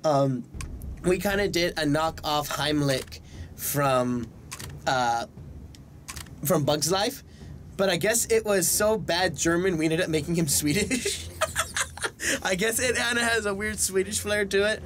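A young man giving casual let's play commentary in an excitable, self-deprecating way, often exclaiming. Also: high-pitched, takes it slowly, pauses often, a little hiss or hum. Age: young adult. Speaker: voice actor and gaming video host. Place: small indoor room. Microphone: desk microphone close by, with headset worn. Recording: game music behind the voice.

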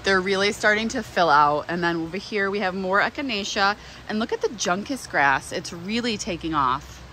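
A woman's voice: medium pitch, moderate pace. A woman in her thirties giving a casual, enthusiastic vlog tour on a handheld mic in a greenhouse with running fans. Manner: chatty, enthusiastic. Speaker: plant nursery owner and vlog host. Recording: noisy fans, handheld camera audio. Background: steady fan noise.

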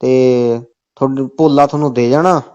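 A man's voice: mid-range voice, steady tone, moderate pace, darkish tone. A man with a Punjabi accent making a comic phone call, talking insistently and falling back on the same stock phrases. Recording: dull, lo-fi phone call recording.

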